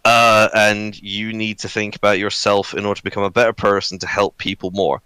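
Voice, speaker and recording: A man in his early twenties chatting and telling personal stories on a podcast, halting, sweary and self-deprecating. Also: lowish voice, level tone, bright, forceful tone, clear, resonant tone, dry recording. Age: early 20s. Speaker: podcast crew member. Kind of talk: casual podcast chat, personal storytelling. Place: online voice call recorded on own mic.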